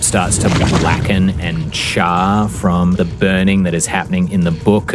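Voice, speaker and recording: A man narrating a tabletop fantasy game as game master, in a measured, descriptive, dramatic storytelling manner. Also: sits low. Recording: music behind the voice.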